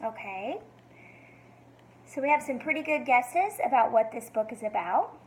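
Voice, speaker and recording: A woman reading a picture book to young children like a teacher, expressive and sing-song, with encouraging questions. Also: fairly high pitch, unhurried, a little hiss or hum. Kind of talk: read-aloud for young children, asking questions.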